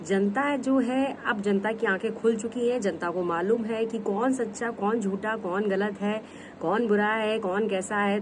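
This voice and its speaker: A woman's voice: mid-range voice, background noise. A woman giving a casual roast commentary on online drama, scolding and mocking her targets as if talking straight to them. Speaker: commentary vlogger.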